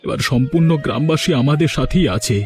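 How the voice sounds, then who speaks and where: Man playing a high-pitched, pleading old village husband. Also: fast, faint music behind, close to an everyday voice. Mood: grateful, earnest. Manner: pleading, stammering, earnest. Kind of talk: dramatic Bengali cartoon dialogue dubbing.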